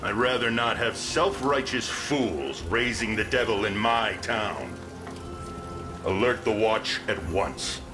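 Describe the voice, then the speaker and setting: Gruff, sardonic fantasy game lord, deep-set and slow, folksy old-world phrasing, music underneath. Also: male voice. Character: gruff, wry town lord and seasoned fighter, resigned. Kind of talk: video game fantasy acting.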